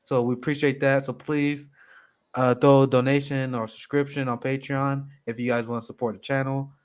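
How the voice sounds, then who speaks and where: A man's voice: medium pitch, little pitch movement, normal speed, clear, resonant tone. A man hosting a political livestream, casually running through the show schedule in an upbeat way. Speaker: host.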